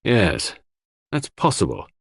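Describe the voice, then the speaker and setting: A man voicing a puzzled, sceptical English country gentleman with a frank, hearty, questioning manner. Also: lowish voice, huge pitch swings, slow pace, creaky, breathy edge, dry recording, studio quality, exaggerated voice. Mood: conceding.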